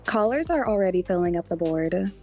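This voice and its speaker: A woman playing a deadpan, exacting radio producer trading audio drama banter in a matter-of-fact way. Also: medium pitch, fairly quick, faint music behind.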